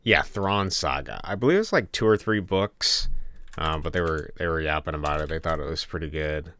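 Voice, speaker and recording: Laid-back low-voiced young man streaming. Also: expressive, moderate pace, fairly bright tone. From Michigan, USA. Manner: laid-back, chatty, reads chat aloud. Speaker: game developer streaming. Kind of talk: casual live-stream chat. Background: keyboard typing and clicking.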